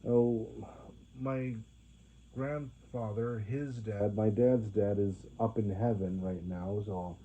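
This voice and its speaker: Halting young man, tinny phone-like sound. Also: fairly low pitch, steady tone, dark tone, a little hiss or hum. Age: 20s. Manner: halting, many restarts and fillers. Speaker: vlogger. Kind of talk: rambling monologue to viewers.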